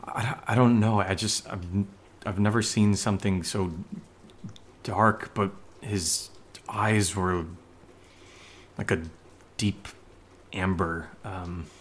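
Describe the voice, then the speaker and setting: A man's voice: fairly low pitch, fairly even intonation, slow pace, long pauses, slight background noise. An actor playing a nervous young man who rambles and stammers through a spooky story in a horror audio drama. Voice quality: hesitant, stammering, rambling with many fillers.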